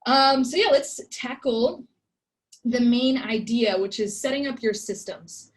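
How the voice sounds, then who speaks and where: A woman's voice: fairly high pitch, a little slow, poor audio. A woman in her twenties who grew up in Egypt, leading an online workshop in a casual, chatty way with many ums and likes, on a computer mic. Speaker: workshop presenter.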